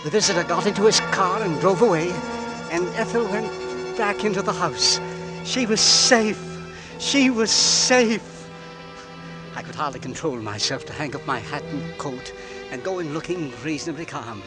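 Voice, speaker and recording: Aktor grający rozedrganego, melodramatycznego męża ze starego słuchowiska. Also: male voice, fairly high pitch, expressive, moderate pace, close to an everyday voice. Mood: frantic dread. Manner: melodramatic, fraught, swinging from hushed to frantic. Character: anxious suspicious husband, an Englishman, secretly dreading his poisoner wife. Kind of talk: 1940s radio drama acting, with first-person narration. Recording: vintage 1940s radio recording with music.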